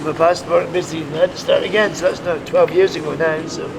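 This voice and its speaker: A man's voice: a little high, fairly even intonation, fast talker, distant mic. A middle-aged man telling his personal story casually, with some hesitation as he pieces it together. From Scotland. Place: outdoors in a town by traffic. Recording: outdoors, off-mic, clear traffic noise.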